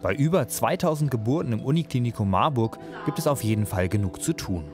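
A man reading scripted narration for a television news report in a measured, informative way. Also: medium pitch, expressive, music in the background.

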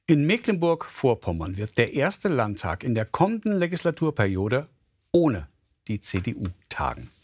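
A man anchoring a regional TV news broadcast, reading with clear, measured emphasis. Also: mid-range voice, no room sound, studio quality. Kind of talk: scripted news presenting.

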